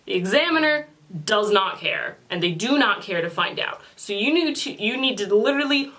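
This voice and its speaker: A woman's voice: medium pitch, lively intonation, moderate pace, a bit bright, low-quality recording. A young woman, a student, giving exam advice in a casual chat, with blunt, dry asides. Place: small home room, indoors. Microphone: camera in front of two seated speakers.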